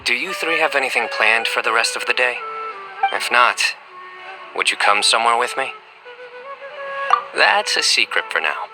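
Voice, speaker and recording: Composed young game companion, mid-pitched. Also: male voice, big pitch swings, normal speed, long pauses, over music, rough recording. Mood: calm, measured. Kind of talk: video game voice acting.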